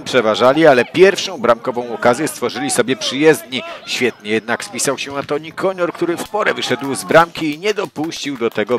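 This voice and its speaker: A man's voice: fairly low pitch, a bit bright. A man reading a scripted report of a youth football match.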